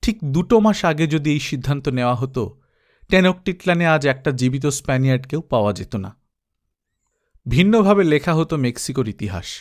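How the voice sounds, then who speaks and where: A man reading an adventure novel aloud as narrator, steady and dramatic. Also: a little high, brisk. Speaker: audiobook narrator. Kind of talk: storybook reading.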